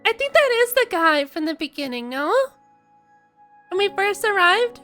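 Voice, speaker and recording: A woman giving casual, playful live reactions to a game story, expressive and quick to exclaim. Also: very high-pitched, faint music behind.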